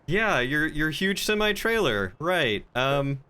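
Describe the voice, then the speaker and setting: A man's voice: fairly high pitch, very animated, slow, pushed and bright, clear, resonant tone. A man streaming a game, chatting casually and improvising roleplay in a polite, hesitant way.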